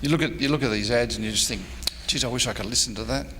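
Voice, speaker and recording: Aussie guy giving a slideshow talk, bright forceful voice, big echoey room, bit of noise. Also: mid-range voice, very fast. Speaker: presenter, radio historian. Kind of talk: slide show lecture. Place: convention presentation room. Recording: echoey hall, faint background noise.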